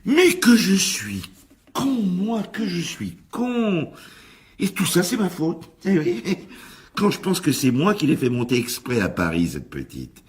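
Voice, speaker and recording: A man's voice: on the high side, huge pitch swings, a little slow. An actor playing an anxious, pleading theatre boss in a French radio drama, stammering and repeating himself as he reproaches himself. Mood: distraught, self-blaming. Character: Georges, anxious, pleading theatre show boss, easily overwrought.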